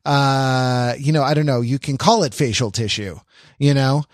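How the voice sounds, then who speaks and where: A man co-hosting a podcast in casual intellectual discussion, haltingly searching for precise words. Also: mid-range voice, no echo, studio quality. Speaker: podcast co-host.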